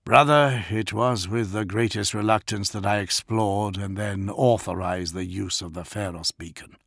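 A man's voice: fairly low pitch, creaky, fairly bright tone, no echo, studio quality. A man doing a weary, firm commander. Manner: measured, firm. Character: weary, reasoning male commander.

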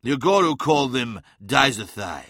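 A man's voice: mid-range voice, very animated, normal speed, a bit bright, husky, no echo, clean studio sound, exaggerated voice. A man voicing a grave, commanding giant warlord father in an audiobook, speaking in portentous tones.

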